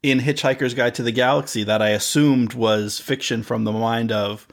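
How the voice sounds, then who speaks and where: A man co-hosting a podcast, asking earnest questions with halting restarts. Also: medium pitch, steady tone, vocal fry, a little hiss or hum. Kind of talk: casual podcast chat.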